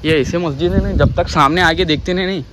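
A man chatting loudly and animatedly with a friend outdoors. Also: a little high, quick pace, background noise. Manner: loud, animated remarks. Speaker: travel companion. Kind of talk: casual chat. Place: outdoors at a mosque courtyard.

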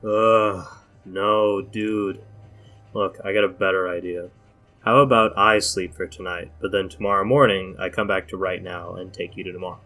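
A man acts out a sardonic young cool guy for a cartoon dub. He talks in a lowish voice with a casual, deadpan delivery. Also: moderate pace, faint music behind. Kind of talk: animated comic dub voice acting.

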